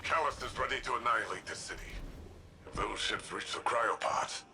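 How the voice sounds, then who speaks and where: Grave soldier, noisy backdrop. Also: male voice, mid-range voice, sharp tone. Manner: serious, measured, cautious. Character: grave, vigilant male military defender of a city. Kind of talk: video game radio-briefing dialogue.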